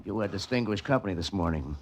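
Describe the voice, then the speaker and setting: An actor playing a gruff, matter-of-fact police detective in a 1940s radio drama. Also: male voice, fairly low pitch, animated, quick pace, lightly acted. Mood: businesslike. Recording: very noisy vintage radio recording.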